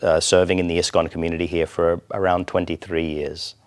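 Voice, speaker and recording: A man from Australia speaking as an interview guest, explaining things in a measured, deliberate way. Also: low voice, little pitch movement. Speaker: temple president, interview guest. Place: TV studio.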